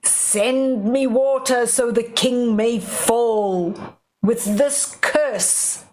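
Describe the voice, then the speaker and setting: A woman voicing a wicked, power-hungry storybook witch who chants her curses in a drawn-out way. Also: a little high, darkish tone. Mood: menacing chant. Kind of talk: storybook read-aloud character.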